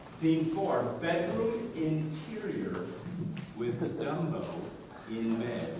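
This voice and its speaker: A man narrates a puppet show in a deliberate, theatrical way, his higher-pitched voice swinging expressively. He is heard from a distance in a very echoey, noisy room. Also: very animated, crowd noise. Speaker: storyteller narrator. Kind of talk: scripted puppet show narration read aloud. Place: indoor live puppet show, far from the mic.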